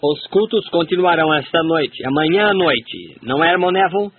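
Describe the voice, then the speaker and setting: A man's voice: a little high, lively intonation, fairly quick. A man steadily reading a translated sermon aloud. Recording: tinny phone-like sound, faint hiss.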